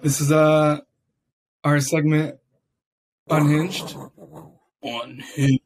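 A raspy-voiced young man hosting a casual podcast, chatting loosely with many fillers. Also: fairly high pitch, flat delivery, very slow, a little mellow, poor audio. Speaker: podcast host. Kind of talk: casual chat.

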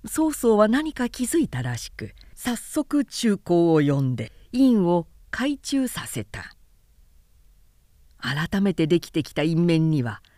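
A woman professional narrator reading a literary story in a deliberate, expressive, dramatic style. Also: lowish voice, no room sound, studio quality.

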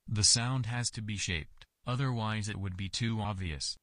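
Calm, low-pitched man of few words, acted out. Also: moderate pace, dry recording, clean studio sound. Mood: calm, certain. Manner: terse, assertive without arrogance. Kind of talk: dialogue in an audio fiction reading.

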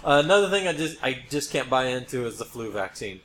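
A man's voice: mid-range voice, very animated, fairly quick. American man chatting over noisy background. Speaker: podcast co-host. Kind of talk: casual conversational podcast chat. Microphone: podcast microphone. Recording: noisy background in podcast recording.

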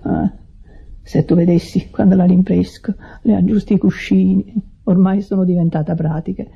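Anxious, very husky smothering caregiver. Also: on the low side, steady tone, moderate pace, exaggerated voice. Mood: pitying, fearful. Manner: fretful, hushed, theatrically solicitous. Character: anxious, smothering, pious woman nursing an invalid. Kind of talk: radio drama acting. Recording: dull lo-fi radio recording.

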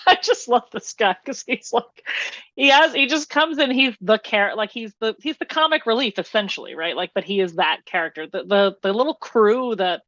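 A woman's voice: on the high side, normal speed, a bit bright, dry recording, lo-fi sound. A woman with an American accent talking casually about films as a podcast co-host. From New Jersey, United States. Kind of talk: casual film discussion.

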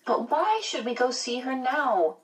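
A woman's voice: sits high, sing-song, a little slow, distant mic, pitched above the performer's own voice. Woman voicing a prickly, defiant teenage schoolgirl. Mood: cross, uneasy. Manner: blunt, combative, guarded. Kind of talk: audiobook character voice.

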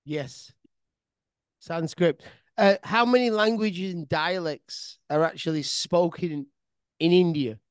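High-voiced man hosting. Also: slow pace, clean tone, dry recording. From England. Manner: casual, curious, restarts questions. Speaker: podcast host. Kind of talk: casual podcast chat.

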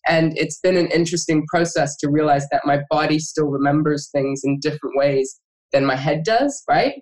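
A woman's voice: low voice, moderate pace, a little mellow, low-quality recording. A woman hosting an interview, reflecting on her own experience and asking questions with frequent fillers and restarts. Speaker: podcast host. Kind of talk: conversational interview.

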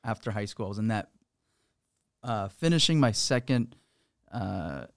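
A man's voice: lowish voice, lively intonation, a little slow, no room sound. A man in his twenties from Florida, a guest in a podcast studio, telling his story in an easygoing, casual way. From Florida, USA. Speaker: guest entrepreneur. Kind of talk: casual interview chat.

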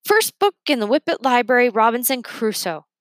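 A small, talkative storybook robot played by a woman narrator. The voice is raised in pitch and happily pedantic, reciting as it goes, in a dry recording. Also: big pitch swings, moderate pace, no echo.

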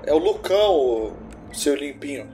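Man playing a mellow, laid-back working guy. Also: on the high side, very animated, normal speed, soft background music. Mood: easygoing. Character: laid-back, plain-spoken working man, easygoing sidekick. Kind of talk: improvised tabletop roleplay, narrating own actions.

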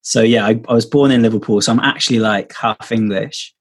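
A man's voice: on the low side, fairly even intonation, brisk, husky. A man chatting casually as a podcast guest, rambling with lots of fillers and restarts, heard over a video call. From Wales. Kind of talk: casual testimony chat.

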